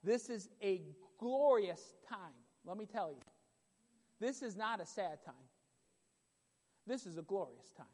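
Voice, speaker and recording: A man preaching a sermon with a loud voice, emphatic and repetitive, building in intensity. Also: high voice, animated, measured pace, pauses often. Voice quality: loud voice at times. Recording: long hall reverb.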